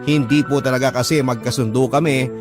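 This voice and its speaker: A man's voice: mid-range voice, steady tone, brisk, lightly acted. A man playing an earnest young Filipino father, narrating his life story respectfully and reflectively for a radio drama. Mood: reflective, confiding. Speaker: letter-sender narrator. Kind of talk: scripted first-person radio drama narration. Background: prominent background music.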